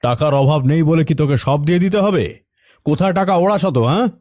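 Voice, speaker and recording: A man's voice: a little high, brisk, darkish tone, no echo, studio quality, natural voice. A man playing an irritated, suspicious middle-aged father in an audio detective drama, with an accusing manner. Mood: angry, suspicious. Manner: accusing, interrogating. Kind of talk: audio detective story acting.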